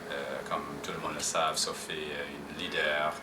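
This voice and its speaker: A man's voice: very deep voice, level tone, moderate pace, background noise. A man giving an emphatic, praising testimonial. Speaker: colleague giving a tribute. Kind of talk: recorded testimonial. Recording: echoey, distant, music behind.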